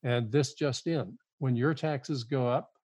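A man's voice: mid-range voice, slow pace, darkish tone, no echo. An older man, a guest author on a podcast, making his case earnestly and often repeating words as he goes. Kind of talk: podcast interview.

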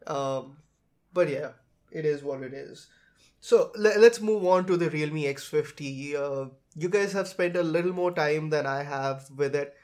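Higher-voiced man hosting a casual tech chat. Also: unhurried, darkish tone. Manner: informal, conversational. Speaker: podcast host.

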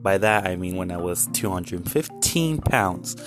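A man's voice: low voice, lively intonation, a little slow, over music. A man in his twenties talking candidly in a casual vlog, rambling with many fillers and restarts. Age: 20s. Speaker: vlogger.

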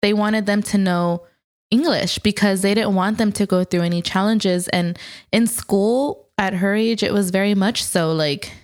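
A woman co-hosts a podcast and keeps the talk light with playful, teasing banter. Her voice sits at a medium pitch, and her delivery is easy and conversational. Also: steady tone, a little slow. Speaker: podcast co-host. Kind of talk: casual chat.

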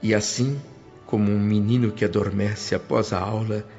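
A Brazilian man playing a reverent, deeply moved son, narrating his memories gently in a radio soap opera. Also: steady tone, normal speed, lightly acted. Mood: moved, reflective. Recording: dull lo-fi radio drama with music.

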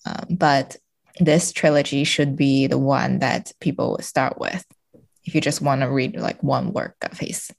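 A woman's voice: very deep voice, little pitch movement, measured pace, creaky. A woman speaking as a podcast guest in a casual literary discussion, feeling hesitantly for her words.

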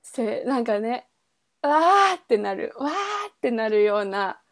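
A woman's voice: on the high side, very animated, a little slow. A woman podcast host in a casual book chat, thinking aloud in trailing, loosely linked phrases. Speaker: podcast host reviewing a novel.

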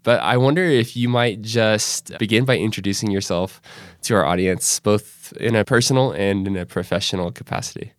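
A man hosting a podcast interview, reading a measured scripted intro and then asking conversational questions. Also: fairly low pitch. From Northwest Arkansas. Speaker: podcast host.

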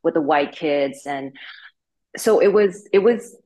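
A woman in her thirties with a Canadian accent tells her story as an interview guest. Her voice sits low, and she talks in a loose, candid way, leaning on fillers like "like" and "you know.". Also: slow, warm tone. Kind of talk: casual conversational storytelling.

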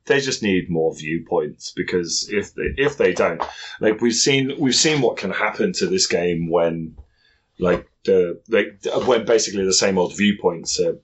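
Male podcast host with a low voice, blunt and sweary, casual ranting banter. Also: rough recording. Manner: blunt, foul-mouthed.

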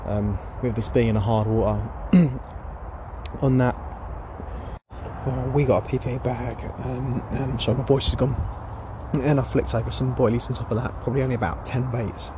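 A man's voice: mid-range voice, fairly even intonation, normal speed. Chatty man, noisy lakeside. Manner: chatty, rambling, full of fillers. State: voice going hoarse. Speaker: carp fishing vlogger. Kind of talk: casual vlog chat to camera. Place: outdoors by a lake. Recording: noisy outdoor recording.

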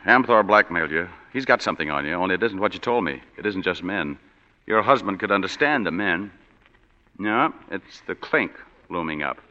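An actor playing a wisecracking, hard-boiled private detective with dry, clipped narration, in 1940s radio acting. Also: male voice, low-pitched, expressive, normal speed, bright, forceful tone. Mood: accusing. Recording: dull reverberant 1940s radio broadcast.

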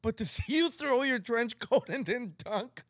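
A man's voice: very high voice, expressive, unhurried, a bit bright, dry recording, clean studio sound. A young man from Canada co-hosting a podcast, reacting eagerly and laughing often in casual chat. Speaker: podcast co-host.

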